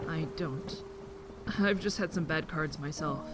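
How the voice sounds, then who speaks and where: Woman as slow-spoken, gentle teenage boy. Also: low-pitched, crowd noise. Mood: gently hopeful. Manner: hesitant, polite, earnest. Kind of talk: dramatic voice acting in audio drama.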